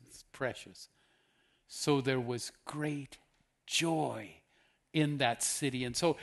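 A man's voice: fairly high pitch, fairly bright tone. A man in his fifties, a guest preacher giving an earnest sermon on a church stage, asking the audience questions. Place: church stage with purple lighting.

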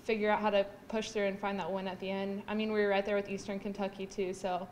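A young woman, a college basketball player, answering postgame questions in a measured way with many fillers. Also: mid-range voice, flat delivery, a little mellow, a little hiss or hum. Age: young adult. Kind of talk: postgame press conference answers. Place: press room, indoors. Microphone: table mics in front.

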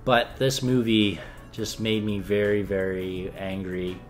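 Low-voiced, scornful young man reviewing films. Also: flat delivery, a little slow, faint music behind. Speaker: film review show co-host. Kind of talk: casual film review chat. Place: small living room, indoors.

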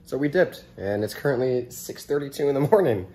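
A young man vlogging casually to a handheld camera, relaxed and laughing often, trailing off with fillers. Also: mid-range voice, brisk, slightly rough. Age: young adult. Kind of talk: casual vlog narration. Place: outdoors on an abandoned site and in a house. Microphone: handheld camera at arm's length. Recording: noisy handheld camera audio.

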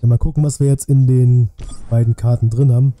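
A man's voice: medium pitch, little pitch movement, fairly quick, dark tone, a little hiss or hum. A man commentating a game on a live stream, talking colloquially and thinking aloud in a casual way. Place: small indoor home streaming room. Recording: music behind the voice.

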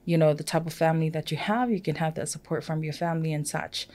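A middle-aged woman from Samoa whose first language is Samoan, speaking reflectively with halting restarts as a podcast guest in a small studio. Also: deep voice, steady tone, darkish tone. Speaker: podcast guest, humanitarian worker. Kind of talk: casual studio conversation. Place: small studio with plants and shelves. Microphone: podcast mic on a boom arm.

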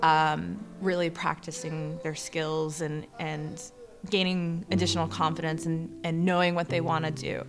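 Lowish-voiced woman over music. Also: level tone, unhurried. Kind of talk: documentary interview. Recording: music under the voice.

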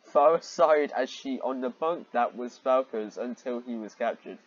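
A man's voice: medium pitch, normal speed, muffled recording. A man reading amateur fiction aloud with sarcastic commentary and exasperated, incredulous asides.

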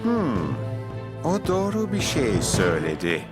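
An actor dubbing a scheming male cartoon villain who talks slowly and gloats over his plans. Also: medium pitch, huge pitch swings. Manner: slow, gloating, plotting. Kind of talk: Turkish cartoon dub. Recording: loud music behind.

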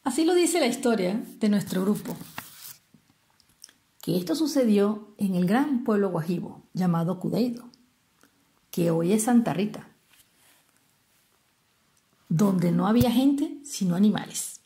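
A Venezuelan woman narrates as a storyteller, with a soft, mellow tone and a medium pitch. She is expressive and instructive, pausing to explain words to her listeners. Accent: Venezuelan Spanish. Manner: didactic, explains terms with rhetorical questions and pauses. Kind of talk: expressive narration of a traditional folk tale.

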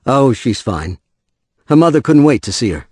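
A man's voice: medium pitch, big pitch swings, moderate pace, a little mellow, husky, dry recording, studio quality. A man acting a frazzled, mild-mannered professional in an audio drama. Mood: apologetic.

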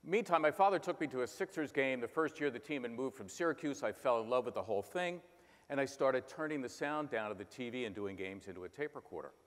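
A man's voice: mid-range voice, expressive, fast. A man in his sixties from Philadelphia, a sports announcer, telling stories on stage and breaking into bits of play-by-play. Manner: storytelling with bursts of play-by-play imitation. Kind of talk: on-stage conversation. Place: large conference hall stage. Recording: hall reverb.

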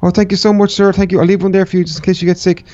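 A man's voice: high-pitched, flat delivery, rapid-fire, muffled recording, crowd noise, lightly acted. A man playing a sad, caring young boy slave who talks urgently and repeats himself, in a Minecraft roleplay. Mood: polite, pleading. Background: music.

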